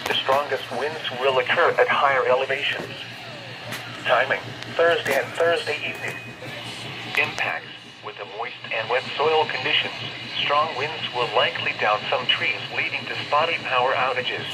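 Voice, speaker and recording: A man's voice: medium pitch, moderate pace. A man's formal, impersonal voice reading a scripted automated weather forecast, heard through a radio speaker. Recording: very noisy weather radio played in room.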